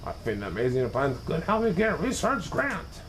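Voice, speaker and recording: Officious doctor played by a male reader, bossy and self-important, storybook role, animated pitch. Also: a little fast, slight background noise.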